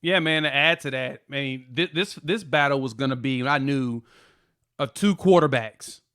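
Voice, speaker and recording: A man as a guest on a casual sports podcast, quick to tease his co-hosts. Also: medium pitch, fairly bright tone.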